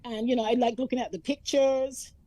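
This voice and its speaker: Warm-toned woman reminiscing. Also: a little high, fairly even intonation, a little fast, no echo. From Antigua. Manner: chatty, storytelling, often laughing. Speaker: guest. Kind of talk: casual reminiscing conversation.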